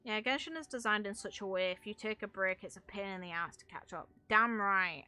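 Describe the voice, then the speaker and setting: Female gaming host, bright forward voice, casual and chatty, music underneath. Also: medium pitch. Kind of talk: casual gameplay commentary. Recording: music playing behind the voice. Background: background music.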